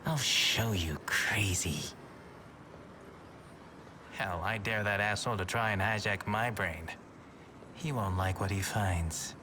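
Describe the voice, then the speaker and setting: Game role: antisocial male bruiser, slow-paced, low-leaning voice, threatening and foul-mouthed. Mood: menacing. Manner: threatening, gruff talk. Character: menacing, foul-mouthed, antisocial tough guy. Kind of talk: video game character acting. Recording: game audio with clear ambient noise.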